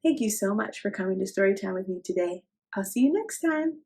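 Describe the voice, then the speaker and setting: A woman hosting a children's storytime, reading a picture book expressively with drawn-out, child-directed phrasing. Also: mid-range voice, lively intonation, a little mellow.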